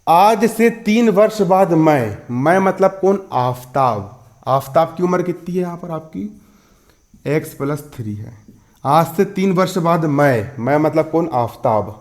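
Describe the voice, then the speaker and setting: A man in his twenties with a fairly high voice gives a school maths lesson. He explains each step, repeats key phrases, and keeps posing questions that he answers himself, checking that pupils follow. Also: animated, measured pace, darkish tone. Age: 20s. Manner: repetitive, asks and answers own questions, checks understanding. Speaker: maths teacher.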